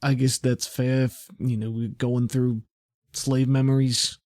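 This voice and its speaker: A man's voice: mid-range voice, level tone, a little slow, a little mellow, no room sound, close to an everyday voice. A man playing a cocky, laid-back memory specialist in a tabletop game, dry and offhand. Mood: casual reassuring.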